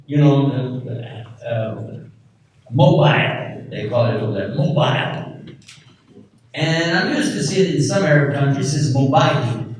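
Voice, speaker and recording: A man giving an animated religious talk to a live audience, often asking the crowd questions. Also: medium pitch. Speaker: speaker at an Islamic event. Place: large lecture hall with audience. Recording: echoey hall from far off, faint noise.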